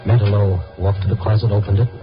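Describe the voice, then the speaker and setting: Night-beat newspaperman for a male actor, low, urgent and coaxing, 1950s radio style, muffled broadcast sound. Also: flat delivery, fast talker, natural voice. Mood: moody narrating. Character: probing night-beat newspaper reporter, a grown man, narrating. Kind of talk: 1950s radio drama acting and first-person narration. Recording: muffled old radio broadcast, loud music behind.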